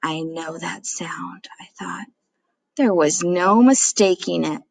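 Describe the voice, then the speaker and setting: A woman's voice: on the low side, slow pace, vocal fry, dry recording, full character voice. A woman voicing a chatty, self-mocking dad who tells a childhood story dramatically in a storybook read-aloud. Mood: dramatic dismay.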